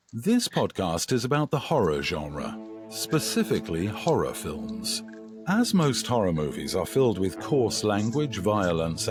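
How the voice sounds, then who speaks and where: A man reading a scripted content warning in a measured, solemn way. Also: fairly low pitch. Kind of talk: scripted announcement. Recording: music bed behind voice.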